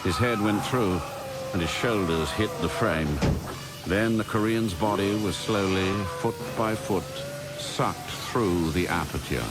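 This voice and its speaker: A man's voice: deep voice, measured pace. A man reading dramatic scripted narration as the narrator of a story. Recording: clear music under the narration.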